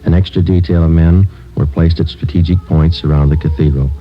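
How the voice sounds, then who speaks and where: An actor playing a terse, matter-of-fact police sergeant who narrates a case in a 1950s radio drama. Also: male voice, rumbling low, fairly quick. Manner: clipped, flat, matter-of-fact. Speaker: detective narrator. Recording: dull old radio broadcast with music.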